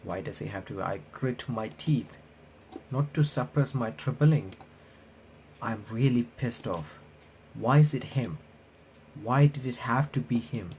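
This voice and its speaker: A man reading visual novel text aloud with bits of his own commentary, halting and correcting himself as he goes. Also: mid-range voice, animated, darkish tone, slight background noise, low-quality recording. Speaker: gaming reaction streamer. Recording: music playing behind the voice. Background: game music.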